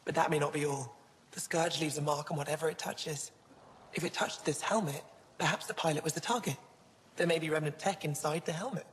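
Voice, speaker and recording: Woman playing low-voiced young alien curator, reverberant. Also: steady tone, a little fast, natural voice. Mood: thoughtful. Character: young alien female museum curator, earnest, scholarly, wistful. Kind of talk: video game dialogue. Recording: long hall reverb.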